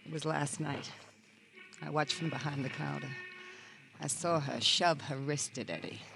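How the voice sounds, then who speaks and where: An actor playing a jilted, sardonic auction-shop worker in an American radio crime drama, with a theatrical, world-weary drawl and an American accent. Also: male voice, on the high side, steady tone, moderate pace, creaky. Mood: wounded. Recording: noisy old radio drama recording.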